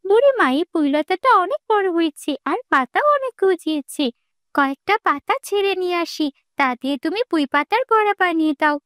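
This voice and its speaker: Woman voicing a very high-pitched cartoon village woman. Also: lively intonation, a little fast, a bit bright, no echo, studio quality. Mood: eager. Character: kindly old village granny and her young girl companion, cartoon women. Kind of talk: cartoon voice acting. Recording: music behind the voice.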